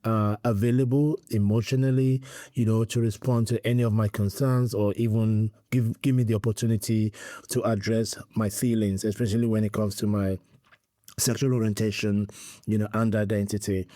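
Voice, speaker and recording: A man's voice: on the low side, little pitch movement, moderate pace, mellow, dry recording, studio quality. A middle-aged man from Nigeria, a priest answering interview questions earnestly and quoting scripture. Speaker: priest and guest.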